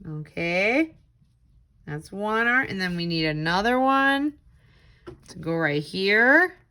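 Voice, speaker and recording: Lady walking kids thru a craft step by step, really unhurried, bright clear-cut voice, encouraging. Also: medium pitch, lively intonation. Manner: simple encouraging instructions addressed to children. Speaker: tutor leading a children's read-aloud craft. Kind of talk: step-by-step craft demonstration for children.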